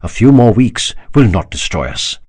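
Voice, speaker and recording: A man's voice: on the low side, sing-song, moderate pace, a little mellow. A man reading a proud, devout emperor who speaks with solemn courtesy and rising passion. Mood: measured. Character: proud emperor, older man, fervent believer.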